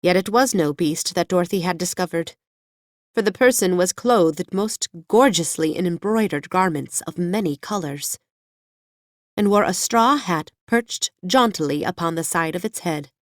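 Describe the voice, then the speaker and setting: A woman reads a children's story in a measured, scripted style. Her voice sits a little low for a woman. The recording is very dry, with no room sound. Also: no room sound. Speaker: audiobook narrator. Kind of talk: scripted children's fiction narration.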